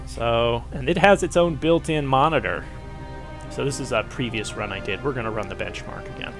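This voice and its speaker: A man with a stuffy, cold-blocked voice, giving a rambling, casual commentary over gameplay as host, with many pauses and fillers. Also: mid-range voice, over music. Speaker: gaming channel host.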